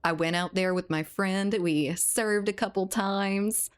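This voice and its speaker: A woman's voice: mid-range voice, fairly even intonation, unhurried, clear, resonant tone. A woman from South Louisiana streaming a game, chatting casually with her viewers and laughing often. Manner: chatty, laughs often, reads and answers chat. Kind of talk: casual live-stream chat while gaming.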